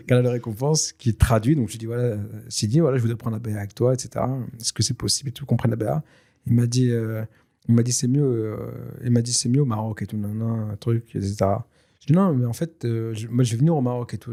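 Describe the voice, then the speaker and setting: A man whose first language is Tashelhit, telling a personal story in a casual, lively way with many fillers and restarts. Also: mid-range voice. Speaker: guest telling his story. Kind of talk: casual spoken testimony.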